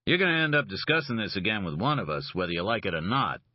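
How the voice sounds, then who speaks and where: A man voicing a calm, hard-edged private detective who speaks firmly and evenly. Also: lowish voice, quick pace, no room sound. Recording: dull, dry lo-fi audio.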